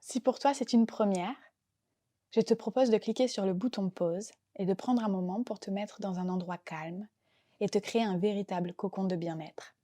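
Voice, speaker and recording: A woman gently and calmly guiding a relaxation meditation as a yoga nidra teacher, unhurried and soothing in her delivery. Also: mid-range voice. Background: soft background music.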